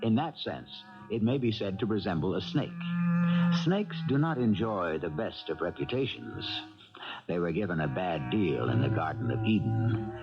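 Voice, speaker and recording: A man's voice: deep voice, big pitch swings, normal speed. A man hosting a 1970s radio mystery, delivering scripted narration in a theatrical, wry, philosophical manner. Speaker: radio mystery show host. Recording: dull 1970s radio recording, loud music.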